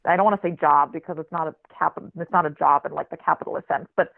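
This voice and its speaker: A writer raised in Israel with a flat American accent, speaking as an interview guest in a thoughtful, searching way with many restarts. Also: mid-range voice, fast talker, creaky, no room sound. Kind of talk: reflective conversational interview. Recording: tinny phone-line recording.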